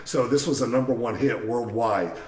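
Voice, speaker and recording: A man's voice: mid-range voice, moderate pace, a little mellow. Chatty man, distant and echoey. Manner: chatty, rattles off chart facts. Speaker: podcast co-host. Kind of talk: casual music chat. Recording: echoey room, away from mic.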